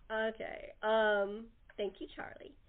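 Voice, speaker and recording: A woman's voice: a little high, narrow, tinny audio. A woman hosting a casual, chatty cast interview on a talk show. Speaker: host and producer of an audio theater talk show. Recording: thin, band-limited call-in show audio.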